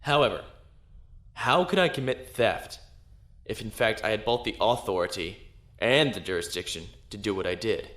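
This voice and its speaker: An actor playing an assured, defiant space-navy lieutenant who argues formally in a science fiction audio drama. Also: male voice, lowish voice, lively intonation, normal speed, fairly bright tone. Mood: confident, insistent. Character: defiant young male space-navy lieutenant defending himself. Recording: roomy echo.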